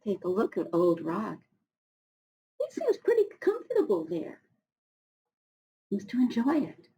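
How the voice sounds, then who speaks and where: A middle-aged woman reads to schoolchildren in an animated, swooping voice. She often steps out of the text to chat directly with her listeners, encouraging them and marvelling in an expressive way. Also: mid-range voice, unhurried. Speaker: storybook reader for schoolchildren. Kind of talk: children's read-aloud with chatty asides.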